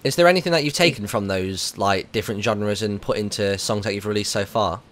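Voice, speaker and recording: A man's voice: lowish voice, brisk, a bit bright. A man with an English accent interviewing in a casual, chatty and teasing way on radio.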